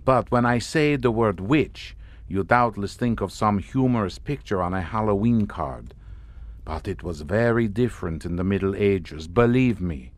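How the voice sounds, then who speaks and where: An actor playing a courtly, grandiose and mockingly amused Hungarian count in a suspense audio drama. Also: male voice, lowish voice, lively intonation, moderate pace, close to an everyday voice. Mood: grandly sinister. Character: sardonic, theatrical Hungarian count, host of a chateau.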